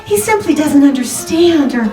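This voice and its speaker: Roomy, off-mic recording: actress as worried genteel young wife, high voice, polite and hesitant, old radio drama. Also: female voice, expressive, moderate pace, warm tone. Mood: anxious, polite. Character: anxious, genteel young married woman seeking a detective's help. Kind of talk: 1940s radio drama acting. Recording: roomy, distant microphone, music behind.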